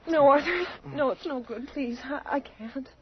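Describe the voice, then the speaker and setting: An actress playing a fragile, haunted young wife, anxious, halting and apologetic, in an old-time radio drama. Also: female voice, sits high, lightly acted. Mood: distressed. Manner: halting, distressed. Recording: muffled, noisy old radio recording.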